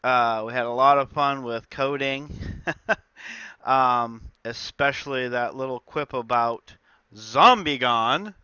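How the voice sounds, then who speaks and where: A man's voice: mid-range voice, slow pace, pushed and bright, clear, resonant tone, no room sound, highs cut off. A man with a slight cold, chatting casually through his game developer diary with lots of filler words. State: stuffed up with a cold. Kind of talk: casual dev diary talk.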